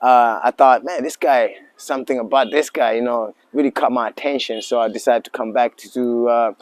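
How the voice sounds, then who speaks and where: A man in his forties from Tanzania, a lodge owner speaking as an interview guest in a relaxed, halting way with many fillers and restarts. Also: mid-range voice. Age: 40s. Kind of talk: casual interview talk.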